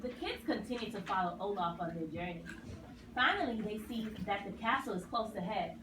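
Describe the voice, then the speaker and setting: A woman's voice: mid-range voice, fairly quick. A woman narrating a scripted children's puppet story. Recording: roomy, distant mic, clear noise.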